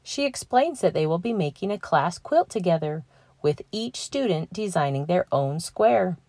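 A young adult woman reading a children's picture book aloud, gentle and clear, lifting her voice for the characters. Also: lowish voice, sing-song, normal speed, dry recording. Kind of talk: read-aloud storytelling.

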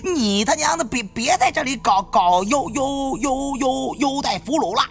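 Guy doing a worked-up stammering tomb raider for an audiobook, voice pushed way up high. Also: a little slow, a bit bright, soft background music, heavily acted. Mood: furious, exasperated. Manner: heavy stutter. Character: agitated, stuttering, foul-mouthed male tomb robber.

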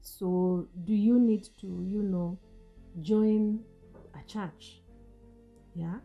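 Earnest woman with a dark, mellow voice. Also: mid-range voice, fairly even intonation, drawn-out words, highs cut off, faint music behind. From Africa. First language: an African language. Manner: earnest, coaching, asks the listener rhetorical questions. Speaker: host. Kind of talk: motivational talk. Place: small indoor room, seated on a sofa. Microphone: studio mic on a stand in front of her.

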